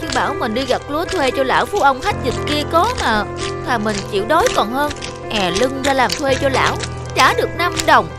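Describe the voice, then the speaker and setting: Woman acting as young male peasant, lazy, whiny, self-pitying, high-pitched, folk-tale cartoon, music behind. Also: animated, fairly quick. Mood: grumbling. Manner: whining, complaining. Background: background music.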